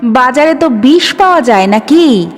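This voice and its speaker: A woman's voice: high-pitched, animated, moderate pace. A woman voicing a harsh, angry, bitter middle-aged father in an audio story. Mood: angry, scornful. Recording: long reverb with music behind.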